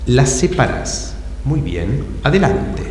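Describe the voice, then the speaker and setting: A man's voice: medium pitch, big pitch swings, takes it slowly, darkish tone. A man with an Argentine accent hosting an improv show, questioning an audience member in a teasing way. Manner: teasing, echoes answers back. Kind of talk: audience interview. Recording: echoey hall with live audience.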